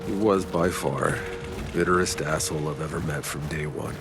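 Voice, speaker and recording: A low, gruff soldier from a video game, worn down and sparing with words. His remarks come out dry and sardonic, with music playing clearly behind him. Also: moderate pace. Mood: dry, reflective. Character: gruff, weary male soldier scouting a hostile world. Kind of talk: video game cutscene acting.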